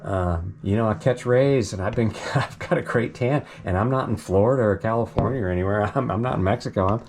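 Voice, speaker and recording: A man with a very low, deep voice talks casually about his property. He rambles with plenty of fillers and restarts and chuckles now and then. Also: fairly quick, background noise. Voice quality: deep voice that carries. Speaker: vlogger giving a property update. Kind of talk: casual rambling talk to camera. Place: home property in the Pacific Northwest forest.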